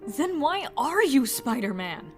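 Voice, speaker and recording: A woman's voice: high voice, sing-song, a little slow, soft background music, exaggerated voice. A woman voicing a flirtatious, playful costumed antiheroine in a comic book dub, with a coy, purring delivery. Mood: baffled, let down.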